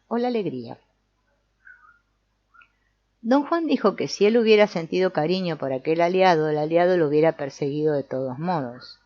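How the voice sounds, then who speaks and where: Dull, dry recording of an even-pitched woman narrating. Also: no echo. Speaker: audiobook narrator. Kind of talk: scripted narration, reading a book aloud.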